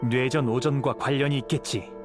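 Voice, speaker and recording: A calm, perceptive young wandering samurai played by a man from a video game. He speaks in a mid-pitched voice, serious and measured, over faint music. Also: natural voice. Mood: serious, deducing. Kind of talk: anime-style video game voice acting.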